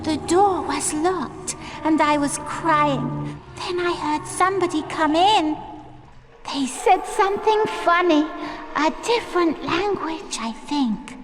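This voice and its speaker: A woman's voice: extremely high pitch, slow. A woman voicing a mournful, touchy teenage girl ghost in a video game, with plaintive, melodramatic delivery. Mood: mournful, dramatic. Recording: long hall-like reverb.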